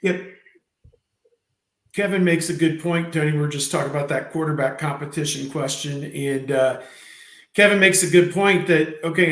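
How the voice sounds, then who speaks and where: A man in his fifties hosting a sports talk show, rambling, with many fillers and pauses. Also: a little high, fairly bright tone, roomy sound. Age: 50s. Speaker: podcast host.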